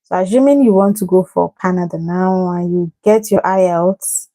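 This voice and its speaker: A woman's voice: fairly low pitch, normal speed, warm tone, no echo, poor audio. A woman from Cameroon hosting a casual livestream, giving visa advice and encouraging her viewers by name while laughing often.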